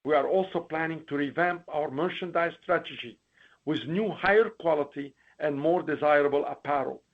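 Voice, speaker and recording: Slightly muffled, lo-fi call audio carries a man with a husky, fairly high voice. He delivers scripted executive remarks in a formal, read-aloud way. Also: moderate pace. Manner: formal, reading prepared remarks with occasional stumbles. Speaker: company chief executive. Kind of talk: scripted earnings call presentation. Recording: dull, lo-fi conference call audio.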